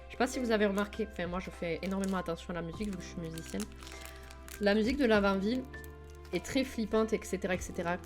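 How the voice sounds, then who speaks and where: A woman's voice: mid-range voice, quick pace, over music. Chatty young woman, Toulouse accent. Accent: southern French, Toulouse. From southern France, Toulouse. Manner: chatty, enthusiastic, many fillers, talks to chat. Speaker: livestream host. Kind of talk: casual live-stream chat with viewers.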